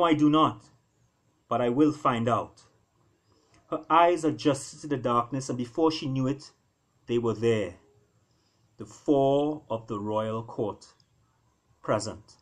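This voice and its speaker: Guy reading his novella out loud, slow n dramatic, big pauses, storyteller style. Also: mid-range voice. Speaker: author reading own novella. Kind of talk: storytelling, dramatic scripted narration.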